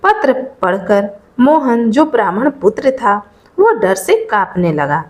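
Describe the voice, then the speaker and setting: Slow, high-pitched woman storyteller. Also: big pitch swings. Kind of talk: scripted moral folk tale narration.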